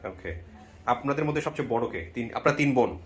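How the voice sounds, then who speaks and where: A man's voice: mid-range voice, lively intonation, a little fast, a crowd in the background. A man with a Bangladeshi accent hosting a show, questioning guests conversationally and repeating their answers back. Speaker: show host.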